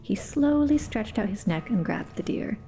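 A woman reads a fantasy story aloud as a podcast host, dropping to a hush when the tension rises. Her voice is dark and mellow, and faint music plays behind her. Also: medium pitch, lively intonation, moderate pace, gravelly. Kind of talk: dramatic story reading.

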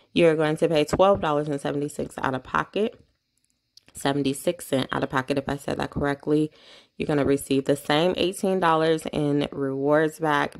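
A woman vlogging a couponing haul at home, chatting casually as she reads off prices, coupons and totals into a handheld phone camera. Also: sits low. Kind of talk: casual shopping haul walkthrough.

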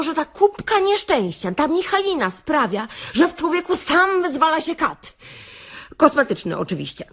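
A woman playing a brash, sarcastic beautician in a satirical radio-play monologue, theatrical and full of rhetorical questions to her listeners. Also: mid-range voice. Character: brash, sarcastic beautician delivering a comic self-help rant. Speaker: actress reading a comic monologue.

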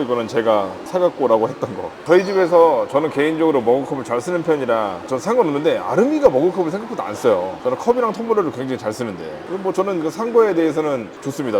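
A man's voice: mid-range voice, very animated, fast talker, few pauses. A man talking casually as a vlog host, with a playful, teasing manner. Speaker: vlog host, husband in a couple channel. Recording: busy noisy urban public places.

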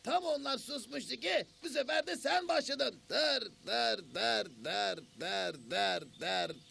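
A man's voice: very high-pitched, lively intonation, slow, gravelly, pushed and bright, husky, full character voice. A man voicing a grumpy, touchy cartoon bird who complains in theatrical indignation. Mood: grumbling. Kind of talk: children's cartoon voice acting.

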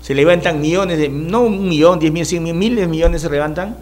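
A man's voice: on the high side, brisk, darkish tone. An older man from Peru with a Peruvian accent, hosting an interview and interjecting emphatically. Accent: Peruvian Spanish. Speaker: host. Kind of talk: interview chat. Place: small TV studio. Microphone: mic on desk stand.

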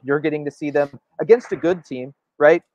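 A man's voice: a little high, a little fast, a little mellow. Emphatic man, dull muffled sound. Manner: emphatic, often seeks agreement with "right?". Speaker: sports show co-host. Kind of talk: opinionated sports commentary. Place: indoor room, video call.

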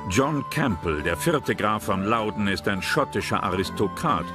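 A man's voice: on the low side, animated, moderate pace. A man reading scripted narration for a history documentary. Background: background music.

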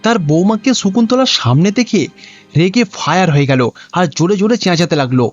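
High-pitched, animated male storyteller. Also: a little fast, dull audio, faint music behind. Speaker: narrator. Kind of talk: scripted cartoon story narration.

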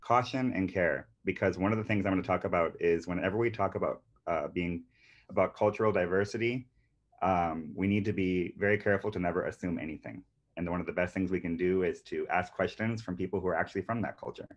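A man's voice: deep voice, quick pace. A man in his thirties who grew up in Panama, presenting a marketing webinar earnestly, with many fillers and restarts. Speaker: marketing agency founder presenting a workshop. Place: indoors on a video call with a virtual beach background. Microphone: computer mic on a video call. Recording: dull lo-fi video call audio.

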